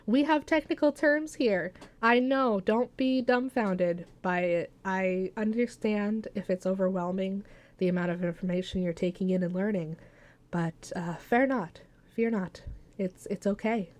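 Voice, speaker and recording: A woman's voice: mid-range voice, measured pace, darkish tone. A woman chatting casually through a hands-on craft tutorial as its host, playful and jokey, with folksy made-up words. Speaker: soap maker hosting a craft tutorial.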